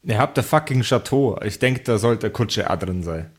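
A man narrating an improvised tabletop game as game master, in a deliberate way with pauses. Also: on the low side, expressive. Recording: music under the voice. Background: music.

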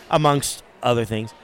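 A man co-hosting a casual podcast chat in a hotel bar, rambling along with lots of hesitation. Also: medium pitch. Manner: rambling, hesitant with fillers and restarts. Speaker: podcast co-host.

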